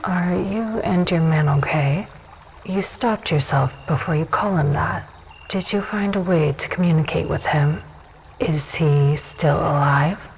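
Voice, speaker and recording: A self-assured female dusk elf, acted by a woman with a lowish voice. She is composed and matter-of-fact, a probing host in a dark fantasy audio drama. Light ambient noise runs behind her. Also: unhurried, creaky, rough recording.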